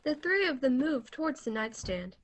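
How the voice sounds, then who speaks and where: A woman's voice: high-pitched, big pitch swings, normal speed, highs cut off. A woman narrator reading scripted stage directions for a radio play, recorded remotely. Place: remote home recording over video call.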